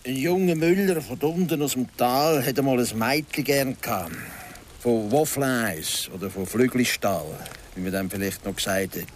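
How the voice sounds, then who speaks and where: A man's voice: medium pitch, slight background noise, lightly acted. An actor as a Swiss German storytelling man. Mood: grave, dramatic. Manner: deliberate, dramatic storytelling with rhetorical questions. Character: local Swiss man, gorge guide, philosophising storyteller. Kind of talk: radio drama, telling a folk legend.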